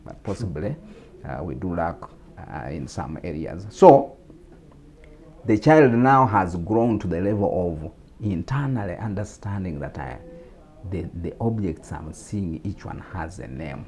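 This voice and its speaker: A man's voice: low-pitched, expressive, a little slow, a little mellow. A man from Uganda with a Ugandan English accent, a guest on a talk show, explaining steadily with repetitions and fillers.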